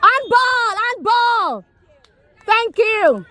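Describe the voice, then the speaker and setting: A woman cheering on a youth soccer team from the sideline at an outdoor field, shouting players' names and encouragement. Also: very high-pitched, sing-song, very slow, a faint crowd.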